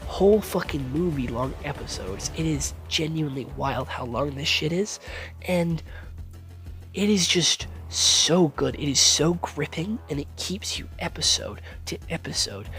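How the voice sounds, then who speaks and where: A fairly high-voiced man gives a loose, rambling TV review, swearing freely and getting worked up as he goes. His words trip over each other now and then when he speeds up. Soft music plays underneath him. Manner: rambling, swears often, excitable; stutters at times when talking fast. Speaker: TV series reviewer. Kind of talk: casual spoken series review.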